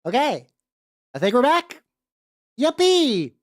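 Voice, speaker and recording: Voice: high voice, wildly swooping pitch, slow, no echo, clean studio sound. A livestream host chatting casually in a rambling, slangy, sweary way that often repeats phrases. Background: background music.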